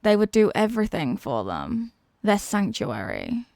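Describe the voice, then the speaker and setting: A woman playing a menacing, mocking demon villainess in a fantasy audio roleplay, theatrical and taunting, with deliberate dramatic pauses. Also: medium pitch, wildly swooping pitch, gravelly, a little mellow, no room sound, exaggerated voice. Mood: taunting storytelling. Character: possessing demon villainess, menacing, mocking, tormented by borrowed love.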